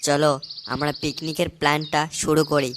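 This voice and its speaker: A man's voice: fairly high pitch, level tone, fairly quick, lightly acted. Man doing a cocky snappy cartoon lad, noisy. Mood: confident. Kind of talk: comic cartoon dub.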